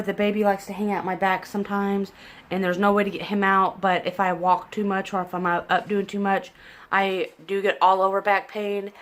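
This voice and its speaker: A young woman from southern Indiana, congested, chatting casually in a rambling, self-deprecating way to a camera in her living room. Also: medium pitch. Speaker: pregnancy vlogger. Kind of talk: casual vlog chat. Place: living room at home, indoors. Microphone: camera in front at desk distance.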